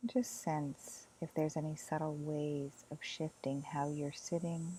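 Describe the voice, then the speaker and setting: A woman leading a guided meditation as a teacher, speaking gently and soothingly in soft phrases with long pauses. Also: low voice, flat delivery, a little hiss or hum, rough recording.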